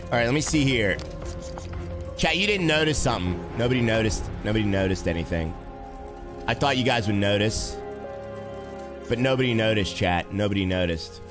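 A man with a raspy throat, streaming a game casually and chatting with viewers as host. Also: on the low side, moderate pace, pauses often, music in the background. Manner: animated, talks to chat and reads comments aloud.

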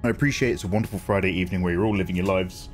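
A man with an English accent, chatting excitably on his live stream and swearing freely. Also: deep voice, rapid-fire, faint music behind. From England. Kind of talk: casual live-stream chat.

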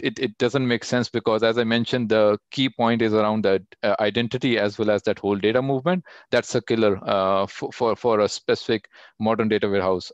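A man's voice: medium pitch, no room sound, muffled recording. A man answering technical questions over a video call, talking with many fillers and restarts. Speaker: guest speaker.

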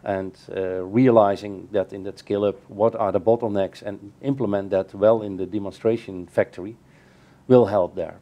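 A middle-aged man, answering panel questions in a measured, explanatory way with frequent restarts. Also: lowish voice, fairly even intonation, muffled recording. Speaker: keynote speaker. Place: bright room by large windows over a canal.

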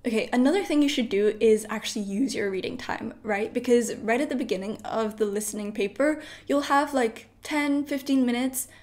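A woman's voice: fairly high pitch, moderate pace, hardly any pauses, a little mellow, faint music behind. A young woman in her late teens, a native Polish speaker, giving casual study advice in a chatty way full of "like" and "you know", filmed in her bedroom. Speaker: study vlogger. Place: bedroom at home.

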